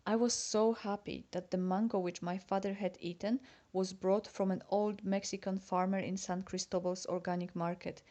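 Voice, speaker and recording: A woman's voice: medium pitch, flat delivery, moderate pace, few pauses, warm tone. A Polish-born woman whose first language is Polish, reading her own personal letter aloud in English with a Polish accent, chatty and confiding as if talking to a friend. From Poland. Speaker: letter writer.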